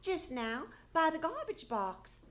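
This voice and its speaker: A woman's voice: sits high, sing-song, takes it slowly, heavily acted. A woman playing a naive, nagging, good-humoured housewife and mother, questioning skeptically in 1940s-style radio comedy. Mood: skeptical, probing. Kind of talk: 1940s radio comedy acting, live on stage. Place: live theatre stage.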